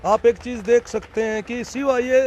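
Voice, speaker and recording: An indignant man speaks to a reporter at an outdoor protest, pressing his points with emphatic repetition. His voice is notably high for a man. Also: fairly even intonation, normal speed, darkish tone. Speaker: protest organizer. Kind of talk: impassioned protest speech to reporter.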